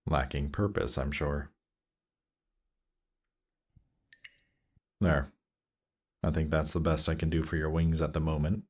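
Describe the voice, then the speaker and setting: Guy doing a demon prince for roleplay audio, super low voice, slow coaxing teasing, seductive. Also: steady tone, darkish tone. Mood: smooth, musing. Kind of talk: intimate roleplay audio drama.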